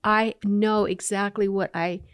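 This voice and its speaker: A woman's voice: mid-range voice, steady tone, unhurried, clean tone, no room sound, studio quality. A middle-aged woman, a company founder, answering interview questions in an upbeat, explanatory way, often listing examples. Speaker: company founder and CEO, guest.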